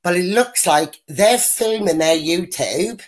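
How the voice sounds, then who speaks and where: A woman with a deep voice, bluntly giving her opinions as a guest on a video-call panel. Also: expressive, slow pace. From Wales. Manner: blunt, rambling, mimics others when quoting. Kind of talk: casual opinionated chat.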